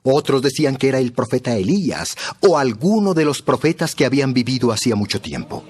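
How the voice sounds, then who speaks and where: A man's voice: mid-range voice, lively intonation, a little fast, a little mellow, breathy edge. A man narrating a dramatized Bible story from a script.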